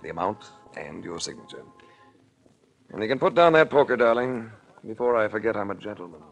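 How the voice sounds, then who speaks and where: An American actor playing a cool, wry investigator in a 1940s radio crime drama, with an unflappable, dryly sardonic manner. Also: male voice, fairly low pitch, lively intonation, brisk, vocal fry, dull audio, over loud music. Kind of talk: 1940s radio detective acting. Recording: vintage 1940s radio recording.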